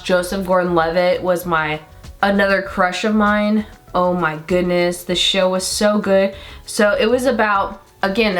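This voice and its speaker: A young woman with a deep voice for a woman talks through her makeup routine in a loose, rambling, excited way, full of fillers. Her pitch sits in a normal middle range. Soft music plays faintly behind her. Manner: rambling, enthusiastic, lots of fillers. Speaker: beauty vlogger. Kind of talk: chatty get-ready-with-me makeup talk.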